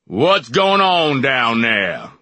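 A man doing a booming drunken giant. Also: sing-song, very slow, pushed and bright, no echo, full character voice. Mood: bellowing. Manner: booming, commanding. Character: towering male attendant, drunken and booming.

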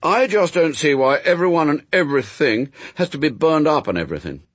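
A man's voice: on the high side, expressive, a little fast, husky, dry recording, voice pushed up. A man voicing an earnest, stubbornly reasonable young boy in a story, arguing in plain, childlike run-on sentences. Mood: earnest protest.

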